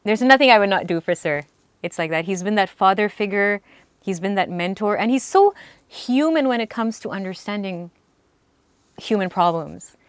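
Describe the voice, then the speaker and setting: A young woman, a singer, telling lively stories in an interview, laughing and acting out what people said. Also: medium pitch, normal speed, clean tone, no room sound. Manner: animated, laughing, acts out quotes. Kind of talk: storytelling in an interview.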